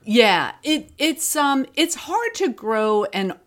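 A woman's voice: a little high, sing-song, slow, fairly bright tone. A woman being interviewed as a podcast creator, chatting casually and acting out her own thoughts as she tells stories.

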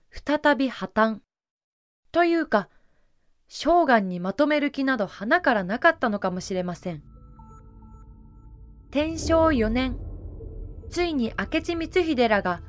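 A woman narrator delivering scripted, dramatic historical storytelling for an audio drama. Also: high-pitched.

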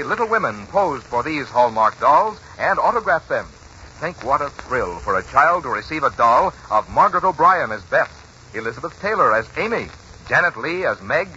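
A man's voice: a little high, sharp tone, dull audio. A man reading a scripted 1940s radio advertisement as sponsor announcer, with polished salesmanship. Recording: noisy, band-limited 1940s radio recording.

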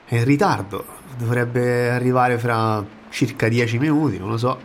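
A man playing a gruff hired tough in an audio drama, chatty but easily rattled. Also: mid-range voice, moderate pace, a little hiss or hum, natural voice. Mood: chatty, mocking.

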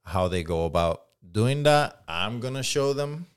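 A man's voice: mid-range voice, animated, measured pace, smooth. A man in his forties from Puerto Rico with a Puerto Rican accent, speaking as a guest in a casual interview, candid and reflective, swearing now and then. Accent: Puerto Rican Spanish. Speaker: guest, firearms instructor.

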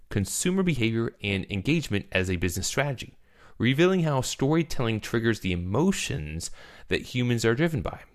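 A man hosting a podcast, reading a scripted intro, then chatting loosely and joking with his guest. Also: mid-range voice. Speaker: podcast host. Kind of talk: podcast interview.

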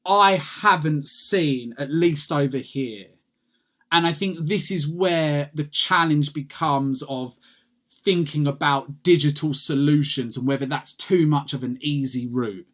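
A British man, a guest patient advocate, talking in an energetic, passionate way with many restarts in a casual podcast interview. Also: a little high, takes it slowly. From United Kingdom.

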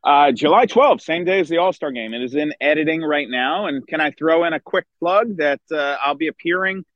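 A middle-aged man, a baseball writer guesting on a podcast, chatting enthusiastically outdoors with a hoarse voice and many restarts. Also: fairly high pitch, a little fast, no room sound, dull audio. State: hoarse from screaming at a concert. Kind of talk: casual podcast chat. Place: outdoors by a river.

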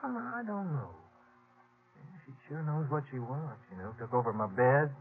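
A man playing an easygoing young Western station hand talks with animated pitch and a polite, folksy manner. It is 1950s radio acting, heard through a narrow, lightly noisy vintage recording. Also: normal speed, lightly acted. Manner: folksy, polite, drawn into explanations.

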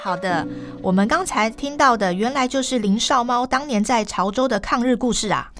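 A woman's voice: a little high, normal speed, faint music behind. A woman with a Taiwanese Mandarin accent co-hosting a radio program in a prepared, conversational way.

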